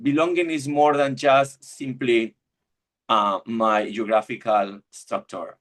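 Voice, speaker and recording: A middle-aged man speaks over a video call, presenting a webinar talk on church life. His delivery is reflective and searching, with frequent restarts as he finds his words. His voice sits at a medium pitch. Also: fairly even intonation, takes it slowly, fairly bright tone. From Argentina.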